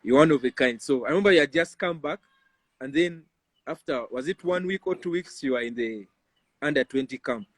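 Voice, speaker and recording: A man's voice: fairly high pitch, brisk, dry recording. A man with a Ugandan accent, interviewing in a casual, conversational way with informal tag questions. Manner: informal, often tags sentences with "yeah".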